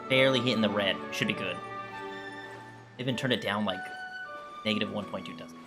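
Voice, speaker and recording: A man's voice: fairly low pitch, fairly quick. A man giving casual, rambling playthrough commentary as a gaming streamer, in an offhand way. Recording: clear music behind the voice.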